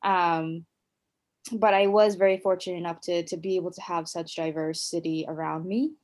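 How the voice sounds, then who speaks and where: A woman talks through her own story on a podcast, in a slightly low voice. She is reflective and unhurried, pausing often on "um" and "you know" and restarting her sentences as she gathers her thoughts. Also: fairly even intonation, darkish tone. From United States. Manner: reflective, many fillers and restarts. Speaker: podcast co-host. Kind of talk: casual conversational storytelling.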